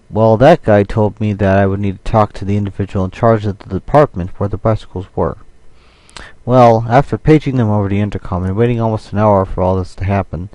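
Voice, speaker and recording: Male vlogger, low and very mellow voice, measured explanatory delivery for a personal audio diary. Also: no room sound, rough recording. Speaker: vlogger recording an audio log. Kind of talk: personal audio-log monologue, part scripted.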